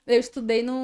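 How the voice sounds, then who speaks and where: A woman in her thirties from Ceará, Brazil, sits as a guest on an interview show and tells her story in a chatty, easygoing way, often tagging her sentences with little questions. Her voice is smooth and resonant and sits a touch high. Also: warm tone. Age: 30s. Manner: chatty, frequent tag questions and laughs. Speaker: guest architect. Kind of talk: casual interview chat.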